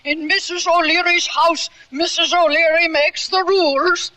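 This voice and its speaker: Old-west radio drama: bossy, talkative Irish boarding-house keeper, piercingly high and bright. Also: female voice, steady tone, a little slow, dry recording, recorded from a distance, full character voice. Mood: brisk bossy. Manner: bossy, chatty, exclaiming.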